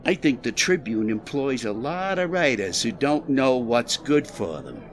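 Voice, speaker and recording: An actor playing a proud, defensive club boss in a 1920s audio drama, streetwise and combative, sliding between bluster and false politeness. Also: male voice, mid-range voice, big pitch swings, unhurried. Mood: boastful, defensive. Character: defensive tough male Chicago athletic club boss, slippery and proud. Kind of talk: audio drama period dialogue.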